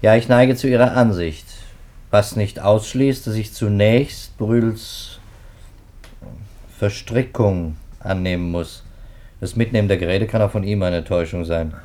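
An actor playing a skeptical, matter-of-fact male police detective in a 1950s radio drama, brisk and businesslike. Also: fairly low pitch, mellow, close to an everyday voice. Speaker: police investigator.

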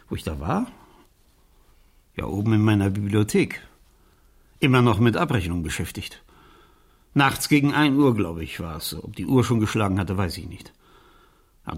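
A man playing an evasive, irritable uncle in a German radio crime drama, halting and easily indignant. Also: lowish voice, slightly rough. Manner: hesitant, halting, turning indignant when pressed. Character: evasive, irritable uncle questioned by his teenage niece.

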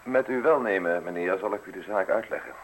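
An actor in a radio play voicing a modest, wordy public prosecutor who speaks with formal, excessive politeness and sly insinuation. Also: male voice, deep voice, brisk, low-quality recording. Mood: apologetic, explaining. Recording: hissy old radio play recording.

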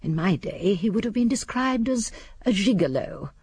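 A woman's voice: medium pitch, animated, normal speed, a little mellow, breathy edge, no room sound, studio quality. An actress playing a prim, disapproving older English woman, narrating in a radio drama. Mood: prim disapproval.

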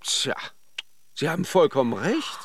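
Radio drama lover: teasing, reflective charmer, highly animated delivery, dry sound. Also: male voice, unhurried, husky, dry recording, full character voice. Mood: teasing, flirtatious. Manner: teasing and joking, musing when alone. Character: playful, flirtatious Russian philanderer, charming but privately reflective. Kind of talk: radio drama acting in intimate dialogue and monologue.

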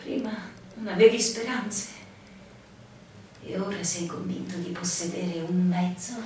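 Anguished barmaid played by an actress, very echoey recording. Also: female voice, lowish voice, warm tone, lightly acted. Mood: bitter, wounded. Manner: emotional, dramatic pauses, swinging intensity. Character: anguished young barmaid, lover, suspicious and wounded. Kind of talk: theatrical stage-style radio drama acting. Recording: very echoey room, distant mic, faint noise.

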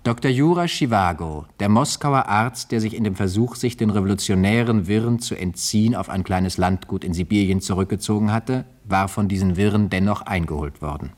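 A man presenting in a measured, scripted, literary style, as in a radio introduction. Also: on the low side, a little mellow.

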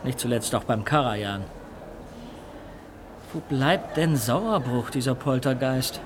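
An actor in a radio play as a cultured, haughty gentleman musician with a dryly judgmental manner. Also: medium pitch, expressive, slow pace, noisy background.

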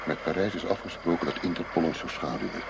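Low-voiced shrewd undercover cop. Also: male voice, big pitch swings, quick pace, gravelly, heavily acted. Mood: tense, hushed. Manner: businesslike, firm. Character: shrewd undercover policeman posing as tough money-smuggling dealer. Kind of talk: radio drama acting. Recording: noisy radio play recording with ambience.

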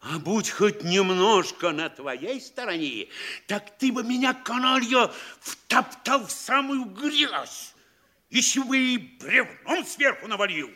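An actor playing a pompous, bullying provincial mayor in a Russian comedy audio play, scolding theatrically between sly quiet and bellowing. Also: male voice, high voice, lively intonation, slow pace, exaggerated voice. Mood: gloating, scolding.

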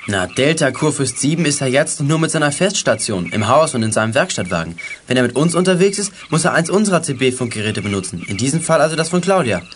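A man's voice: medium pitch, very animated, fast, few pauses, a little hiss or hum, natural voice. An actor playing a self-assured teenage boy detective in a children's radio play, explaining things in a matter-of-fact, slangy way.

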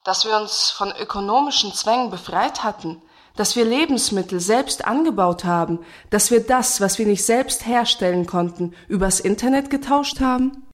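An actress playing an indignant, betrayed commune member in a satirical radio play, asking pointed, sarcastic questions. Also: female voice, medium pitch, normal speed, lightly acted.

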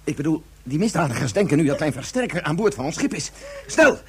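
A man's voice: a little high, very animated, quick pace, crowd noise. An actor playing an anxious, peace-minded father and ship owner in a science-fiction radio play. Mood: alarmed, agitated.

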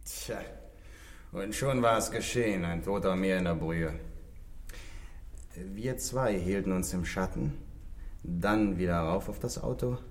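A sly condemned criminal in an old radio play. His voice is fairly low and he drawls his slang with musing hums, cynical and unrepentant. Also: measured pace, long pauses. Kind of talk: 1960s German radio play acting.

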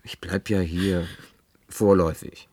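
A man's voice: sits low, fairly even intonation, a little slow, a little mellow, breathy edge, heavily acted. An actor playing a stern, stubborn and disapproving man in a German radio drama, blunt and reproachful in delivery. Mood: reserved, doubtful.

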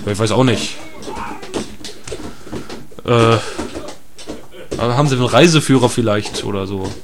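A man's voice: medium pitch, moderate pace, background noise, lightly acted. A man playing a naive, chatty tourist in an improvised absurd audio comedy, hesitant and repetitive, often tacking on tag questions. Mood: polite, puzzled. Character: chatty, naive male tourist, placidly captured.

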